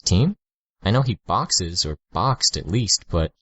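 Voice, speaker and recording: A man's voice: low voice, expressive, slow pace, fairly bright tone, breathy edge, no room sound, lo-fi sound, pitched above the performer's own voice, full character voice. A man reading an audiobook, voicing a wary, guarded teenage girl with a dry, evasive way of talking.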